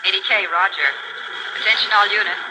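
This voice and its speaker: An official police dispatcher calls out in a high voice over a police radio. It is a 1940s radio drama, with heavy noise all over the recording. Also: male voice, fairly even intonation, brisk. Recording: very noisy crackly vintage police radio.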